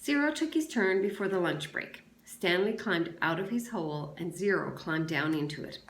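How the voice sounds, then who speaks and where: A woman's voice: on the low side, expressive, moderate pace. A woman, a teacher, reading a children's novel aloud with expression. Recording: echoey room sound.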